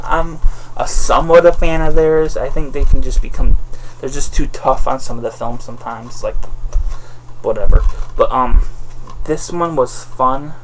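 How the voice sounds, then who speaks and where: A man with a lisp giving a casual, rambling movie review, halting and often correcting himself. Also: mid-range voice, a little hiss or hum, poor audio. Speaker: vlogger reviewing DVD purchases.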